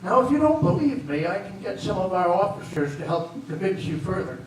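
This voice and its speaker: A man on stage playing a gruff, weary and officious border guard in an amateur readers theater. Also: high voice, big pitch swings, brisk. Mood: stern, officious. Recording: echoey hall from far off, faint noise.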